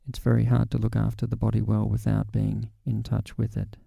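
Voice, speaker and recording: A man guiding a body scan meditation in a calm, gentle, unhurried way with long pauses. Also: fairly low pitch, vocal fry, husky, dry recording, studio quality. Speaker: meditation guide.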